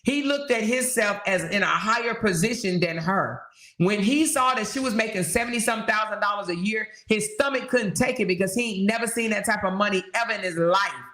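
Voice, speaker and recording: A woman talks straight to her livestream audience, stressing every point and circling back to repeat it. She keeps checking in with quick rhetorical questions. Her voice rings off the walls of an echoey room. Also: mid-range voice, fairly bright tone. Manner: emphatic and repetitive, hammering points with rhetorical questions. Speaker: livestream host. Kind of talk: casual livestream commentary, reading viewer comments.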